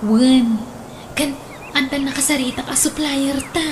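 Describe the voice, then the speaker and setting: A woman's voice: high-pitched, a little slow. A woman playing a practical, guarded younger working woman in a radio drama, with an Ilocano accent. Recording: faint background noise.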